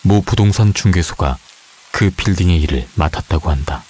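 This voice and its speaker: A man calmly tells an urban legend from a script in an even, matter-of-fact way. His voice is very deep, with a husky, breathy grain. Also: moderate pace. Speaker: storyteller.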